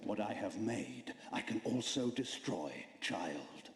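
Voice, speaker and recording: A man's voice: lowish voice, animated, slow. A man voicing an ancient vampire emperor in a video game, haughty, menacing and world-weary, with a theatrical, imperious delivery. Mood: cold menace. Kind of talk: video game cutscene drama. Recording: echoing hall with faint background ambience.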